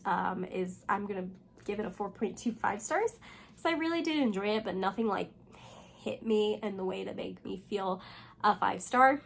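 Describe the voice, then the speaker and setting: Hoarse chatty young woman booktuber. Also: on the high side, slight background noise. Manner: chatty, rambling, full of "like" and "um". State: hoarse, recovering from losing her voice. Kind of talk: casual reading vlog chat.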